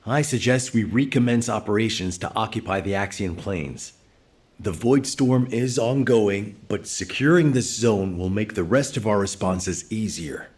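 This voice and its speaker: An analytical military strategist, acted by a man in a video game. His voice is fairly low, and he lays things out carefully in a measured, formal manner. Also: a little mellow, natural voice. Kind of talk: game cutscene dialogue.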